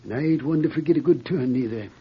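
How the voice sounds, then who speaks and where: An actor playing a folksy, grateful old prospector with rustic, hesitant speech in a radio drama. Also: male voice, fairly high pitch, fast talker, natural voice. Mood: grateful, sheepish. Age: older. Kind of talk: 1940s radio acting. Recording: noisy, muffled old radio recording.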